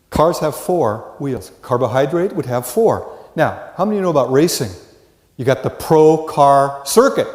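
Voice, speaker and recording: Animated older American man lecturing in echoey hall. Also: a little high. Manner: folksy, animated storytelling with rhetorical questions. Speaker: college lecturer. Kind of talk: lively classroom lecture with questions to students. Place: large indoor classroom or lecture hall.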